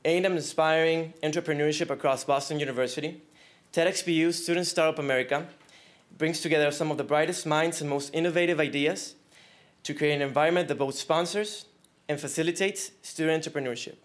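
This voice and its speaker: A man's voice: fairly high pitch, steady tone, a little fast. A young man introducing the event and its first speaker, reading a formal script. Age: young adult. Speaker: event host.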